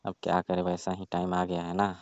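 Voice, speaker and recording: A man's voice: sits low, completely flat delivery, brisk, dry recording. A man playing a sly young friend of the family who coaxes and insinuates in a casual phone conversation. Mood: nudging. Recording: muffled lo-fi phone call recording.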